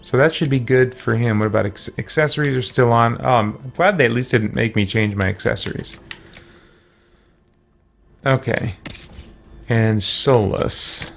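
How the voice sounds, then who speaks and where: A man talking casually on a livestream as he plays a game, halting and thinking aloud. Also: medium pitch, gravelly. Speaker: livestream game player and host. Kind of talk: casual livestream chat while playing. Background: game music.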